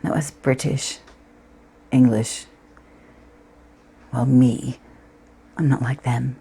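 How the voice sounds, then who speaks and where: A woman playing a wistful, frank young woman in a stage monologue, speaking intimately and reflectively with deliberate pauses. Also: rumbling low, steady tone, vocal fry, dark tone, breathy edge, pitched below the performer's own voice, full character voice. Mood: disillusioned.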